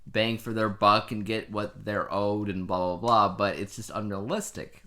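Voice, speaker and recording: A man's voice: on the low side, level tone, moderate pace, pushed and bright. A man co-hosting a casual podcast, explaining things in a conversational way. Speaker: podcast co-host. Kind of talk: casual two-person podcast chat.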